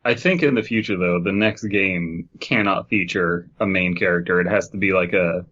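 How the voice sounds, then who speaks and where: A man's voice: low voice, normal speed, a bit bright, lo-fi sound. A man chatting casually about video games as a podcast co-host, rambling and acting out bits of dialogue.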